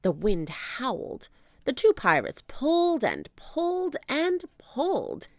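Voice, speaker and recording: A woman reading a children's book aloud as an expressive storyteller. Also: high-pitched, takes it slowly, dry recording, clean studio sound.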